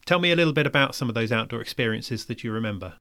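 A podcast host introducing and interviewing a guest in a measured, conversational way. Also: mid-range voice, expressive, a bit bright.

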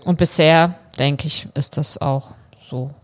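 Tiefe Frauenstimme, bedächtig im Gespräch. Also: female voice, deep voice, fairly even intonation. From Bulgaria. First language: Bulgarian. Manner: measured, thoughtful, with frequent hesitations. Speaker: law firm partner, podcast guest. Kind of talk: casual interview conversation.

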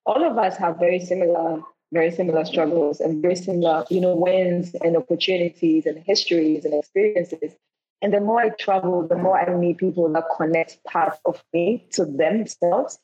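A woman from Ghana with a lowish, husky voice tells travel stories as a podcast guest. She gets animated, acts out the people she quotes and restarts her sentences often. The audio sounds dull and lo-fi. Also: normal speed. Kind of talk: storytelling in a podcast interview.

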